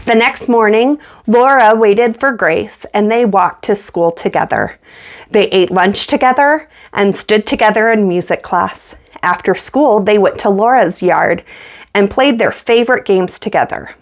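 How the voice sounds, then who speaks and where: A woman's voice: mid-range voice, a little slow. A woman reading a children's picture book aloud as a storyteller, acting out the dialogue as she goes.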